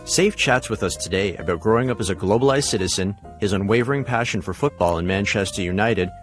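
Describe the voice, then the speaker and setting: A man hosting a podcast, asking brisk conversational questions. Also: on the low side, soft background music. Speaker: podcast host. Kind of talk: podcast interview.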